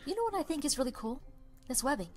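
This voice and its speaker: A woman's voice: sits high, big pitch swings, fast, a little mellow. Lady doing a cocky rich inventor guy in a fandub, wry and teasing, bit of music under it. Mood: probing, brisk. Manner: glib, teasing, sardonic. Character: cocky, teasing middle-aged billionaire inventor man. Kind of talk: amateur fan dub of a film scene.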